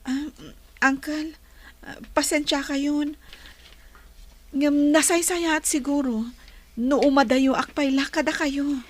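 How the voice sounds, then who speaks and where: An actress with a Filipino accent playing a meek, patient, mistreated young student in a radio drama, speaking softly and deferentially. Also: female voice, high-pitched, steady tone, slow pace, pauses often. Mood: apologetic.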